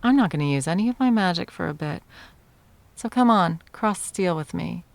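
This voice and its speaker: A woman's voice: lowish voice, animated, moderate pace, very noisy. A woman acting an arrogant, centuries-old immortal sorceress tyrant in an ASMR roleplay, teasing and theatrical, at times slipping into whispers. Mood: thrilled, combative. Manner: mocking, purring, theatrical, slipping into breathy whispers.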